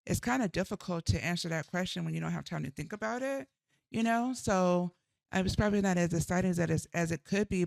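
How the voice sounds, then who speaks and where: Gravelly-voiced woman musing aloud. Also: on the low side, dry recording. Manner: thoughtful, rambling, self-deprecating. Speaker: daughter and co-host. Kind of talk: casual chat.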